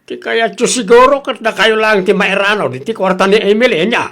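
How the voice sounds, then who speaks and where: A man's voice: high-pitched, a little fast, fairly bright tone. A man with an Ilocano accent playing a stubborn, defiant father in a radio drama, arguing back in a hard, argumentative way. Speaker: father in a family quarrel.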